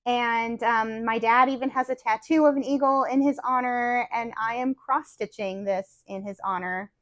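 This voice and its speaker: A woman's voice: a little high, moderate pace, no echo, lo-fi sound. A woman co-hosting a casual stitching chat, rambling with fillers and restarts while losing her voice a little. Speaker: podcast co-host. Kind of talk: casual chat about cross-stitch projects.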